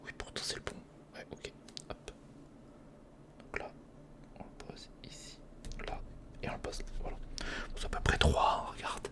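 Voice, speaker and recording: A man's voice: measured pace, long pauses. Whispering male ASMR creator. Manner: murmured, informal running self-talk addressed to the viewer. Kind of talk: whispered ASMR, casual step-by-step commentary while building. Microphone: close recorder microphone.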